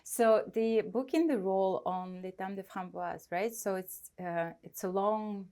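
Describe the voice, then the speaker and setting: A woman's voice: medium pitch, unhurried, warm tone. A woman with a Russian accent hosting a casual interview, asking long rambling questions with many fillers. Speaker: host.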